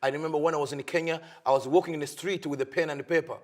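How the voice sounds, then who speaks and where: A man from Africa, a pastor answering interview questions earnestly in halting, learned English. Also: fairly high pitch, steady tone. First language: not English. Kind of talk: TV interview guest.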